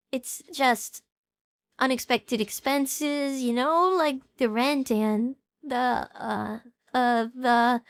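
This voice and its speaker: A woman playing an anxious, apologetic, cash-strapped young streamer in an audio drama, halting and self-justifying. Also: high-pitched, a bit bright, no room sound, clean studio sound. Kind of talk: audio drama acting with narration.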